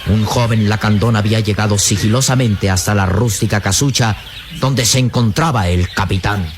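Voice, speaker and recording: A man's voice: on the low side, moderate pace, slight background noise. A man with a Latin American Spanish accent giving dramatic scripted narration for a radio serial. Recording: music under the narration.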